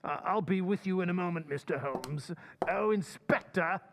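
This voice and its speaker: A stiff, courteous colonel in an old-time radio play, acted by a man. His voice is high for a man, and he speaks formally with some hesitation. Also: moderate pace, a little hiss or hum. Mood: polite.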